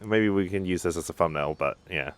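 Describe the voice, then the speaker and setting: A man's voice: deep voice, fairly quick, a loud crowd. A man giving casual let's-play commentary as a game streamer, in offhand, murmured asides.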